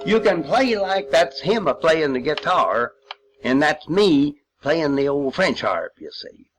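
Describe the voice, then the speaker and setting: Elderly male veteran. Unhurried, rambling, folksy storyteller with very lively intonation. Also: fairly high pitch, noisy background. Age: 80s or older. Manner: folksy, rambling storytelling with old-time expressions. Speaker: World War II veteran sharing memories. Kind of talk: informal spoken reminiscence.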